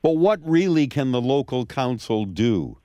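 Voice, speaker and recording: A man's voice: medium pitch, sing-song, a little slow, mellow, no echo. A man hosting a radio interview, asking probing questions and agreeing often with his guest. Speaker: radio host.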